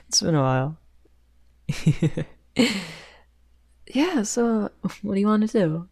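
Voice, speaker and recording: A woman's voice: medium pitch, expressive, drawn-out words, vocal fry, mellow, full character voice. A woman playing a shy young male best friend in an ASMR roleplay, rambling hesitantly with stammers and nervous giggles. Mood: shy, friendly.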